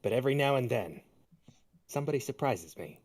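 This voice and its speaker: A man's voice: medium pitch, lively intonation, fairly quick, a little mellow. A man reading a character's lines in a casual comedic table read.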